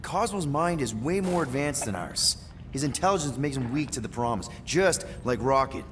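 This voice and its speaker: A man's voice: mid-range voice, expressive, normal speed, soft background music, lightly acted. A man playing a scrappy, upbeat human leader of a space crew in a video game cutscene, with a casual, persuasive way of talking.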